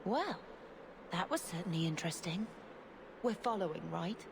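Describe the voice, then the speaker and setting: A woman playing a gentle, dutiful young mage sister in a video game, speaking earnestly and reflectively. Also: on the low side, expressive, moderate pace, noisy background. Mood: uneasy. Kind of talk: video game character acting. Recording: reverberant hall, music behind.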